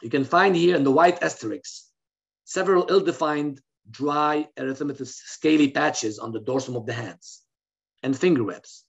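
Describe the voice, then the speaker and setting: A man's voice: on the high side, normal speed, creaky. A middle-aged man from Lebanon giving a recorded medical lecture over a video call, explaining steadily with fillers and restarts. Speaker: physician lecturer. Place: indoor room, in front of a plain wall. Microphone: webcam on a video call. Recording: slightly dull, lo-fi video call audio.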